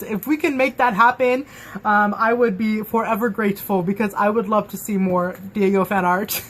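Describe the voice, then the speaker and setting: A young woman chatting excitedly in a casual book review vlog, full of fillers and restarts. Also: medium pitch. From Mexican and Cuban heritage.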